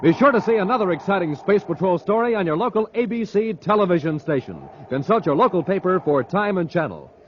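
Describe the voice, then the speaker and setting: Animated American male radio announcer. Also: high voice, brisk, few pauses, a little hiss or hum. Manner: enthusiastic, emphatic salesmanship. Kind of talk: 1950s radio announcing and ad pitch. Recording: dull vintage radio transcription.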